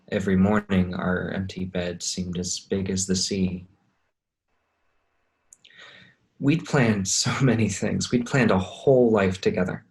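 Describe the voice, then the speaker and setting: A very low-pitched widower, grieving and anxious , as a man acts him in a staged reading. He speaks earnestly and haltingly, like someone telling his own story in a stage monologue. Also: poor audio. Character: grieving anxious widower searching for runaway young sister-in-law.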